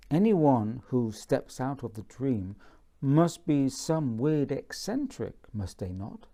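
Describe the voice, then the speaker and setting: A man reading from his own book in a measured bedtime-story style, deliberate and pausing between phrases. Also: medium pitch, mellow.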